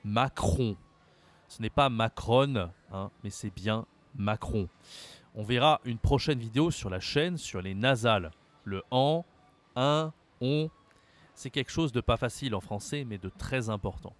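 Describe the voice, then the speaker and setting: A man's voice: mid-range voice, unhurried. A man from France teaching a French lesson, speaking patiently, repeating words and spelling them out letter by letter. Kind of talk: slow, carefully articulated language lesson.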